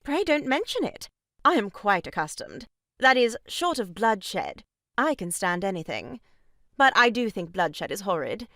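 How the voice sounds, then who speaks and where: A woman's voice: medium pitch, very animated, a little slow, a bit bright, no echo, clean studio sound. A woman playing an unflappable, bluntly frank married lady in an audio farce, genteel and breezy. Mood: politely unfazed. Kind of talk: stage farce audio drama acting.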